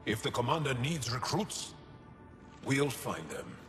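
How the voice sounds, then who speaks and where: A man's voice: medium pitch, very animated, unhurried, fairly bright tone. An actor playing a passionate, loyal alien male resistance soldier in a video game. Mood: resolute. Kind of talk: dramatic game dialogue. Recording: echoey hall, faint music behind.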